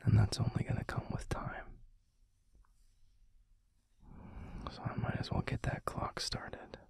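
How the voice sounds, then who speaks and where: A man voicing a gentle, protective alpha werewolf boyfriend in an intimate ASMR roleplay, murmuring slowly and haltingly in a breathy, gravelly near-whisper. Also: natural voice. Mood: tender, hesitant. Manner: slow, halting, soothing murmur with pauses.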